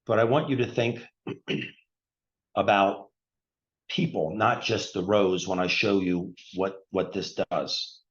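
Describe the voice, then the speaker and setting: A man in his sixties with a lowish voice, walking listeners through a health product step by step. He clears his throat often and repeats points in plain terms. The recording sounds dull and lo-fi. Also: steady tone, takes it slowly. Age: 60s. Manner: plain explanatory talk with frequent throat clearing. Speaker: retired surgeon presenting a health product. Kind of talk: explanatory product presentation.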